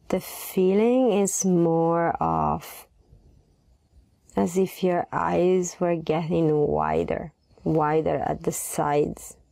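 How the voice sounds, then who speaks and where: A woman leading a guided meditation in a soft, gentle voice, unhurried and pausing between phrases. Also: fairly low pitch, creaky, no echo.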